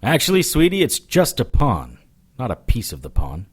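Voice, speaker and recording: A man's voice: mid-range voice, huge pitch swings, normal speed, breathy edge. A man playing a wry, defiant supernatural investigator who narrates his case in an audio drama, dry and firm. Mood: placating. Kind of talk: first-person detective audio drama.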